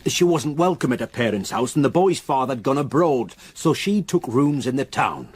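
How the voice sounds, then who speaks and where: An actor playing a grave, reluctant local countryman in a radio drama, with a solemn, halting way of telling a dark story. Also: mid-range voice, big pitch swings, slightly rough. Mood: reluctant, sombre.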